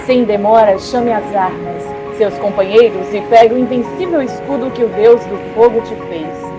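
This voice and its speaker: A woman's voice: a little high, normal speed, lo-fi sound, music in the background, close to an everyday voice. A woman playing a solemn, urgent messenger in an audio drama, grave and declamatory.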